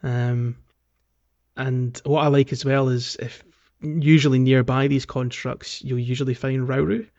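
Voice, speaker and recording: A man's voice: mid-range voice, flat delivery, a little slow, a little mellow, muffled recording. A man hosting a podcast, talking through a game in a casual, rambling, conversational way. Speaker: podcast host.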